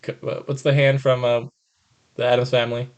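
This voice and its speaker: A man's voice: medium pitch, little pitch movement, brisk, smooth, muffled recording. A man co-hosting a podcast, giving casual opinions on movies in informal, sweary language. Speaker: podcast co-host. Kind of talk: casual chat about movies.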